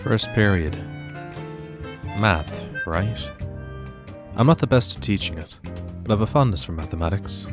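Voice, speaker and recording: A man's voice: lowish voice, lively intonation, measured pace, long pauses. A man playing a weary, aloof math teacher, narrating and talking in a dry, resigned way for a visual novel fandub. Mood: dry, casual. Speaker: narrator and lead character. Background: music and rain sounds.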